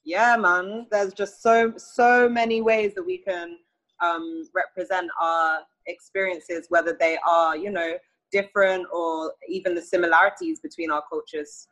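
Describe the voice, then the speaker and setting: A woman's voice: fairly low pitch, fairly even intonation, a little slow, fairly bright tone, poor audio. A young British woman talking in a casual conversation, chatty and full of fillers. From United Kingdom.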